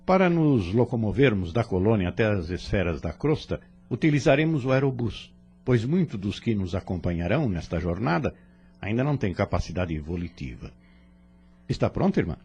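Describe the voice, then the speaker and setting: Casting: male actor for fatherly Brazilian spirit guide, low-voiced, solemn, with sweeping intonation, audio drama. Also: sing-song, a little mellow, highs cut off, soft background music. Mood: calm, informative. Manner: solemn, measured, formal exhortation. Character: serene, fatherly male spirit mentor, kindly and preaching. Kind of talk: religious audio drama acting.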